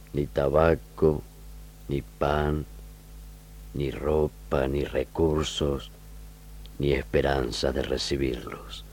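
An actor playing an aged, weary but stoic army general in a radio drama, with solemn, measured military oratory. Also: male voice, rumbling low, dark tone, heavily acted.

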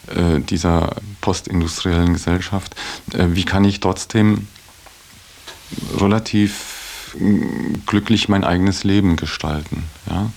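A man's voice: sits low, darkish tone. A man giving a reflective interview in earnest, articulate phrases, hesitating often as he weighs his words. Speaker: interviewee in a radio feature.

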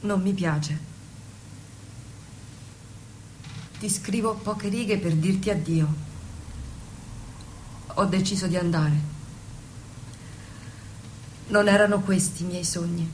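An Italian stage actress playing a wistful, naive young wife, speaking in an earnest, plaintive, musing way in a radio play. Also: female voice, fairly low pitch, slow pace, pauses often, slight background noise, rough recording. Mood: sad, resigned. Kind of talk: stage-play dialogue with snatches of song.

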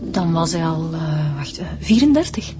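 An actress playing a matter-of-fact police detective. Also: female voice, low voice, sing-song, normal speed, music in the background. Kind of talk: radio drama acting.

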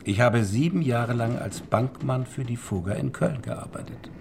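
An actor playing a shrewd, methodical former banker turned forger in a historical German radio drama, explaining deliberately with pointed pauses. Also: male voice, medium pitch, creaky, noisy background, lightly acted. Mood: confiding, businesslike.

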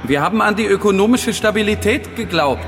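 A man's voice: high voice, animated, fairly quick, over music. An actor playing an earnest father in a chorus of parents, reciting in a radio play.

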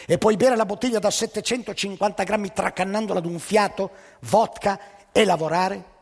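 A man's voice: sits high, moderate pace, creaky, long reverb tail, pitched above the performer's own voice. A man doing an officious Soviet party interviewer.

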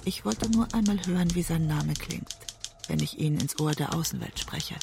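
An actress playing a West German woman writer who narrates an obsessive love story in a measured, introspective way, as in a literary audio drama. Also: low voice, creaky, background noise. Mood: obsessive, reflective.

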